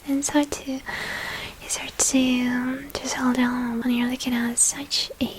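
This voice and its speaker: A woman playing a shy, apologetic but hungry young vampire girl in an ASMR roleplay, speaking in a hesitant, intimate, breathy whisper. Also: sits high, little pitch movement, slow pace, slight background noise, poor audio. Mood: shy confiding.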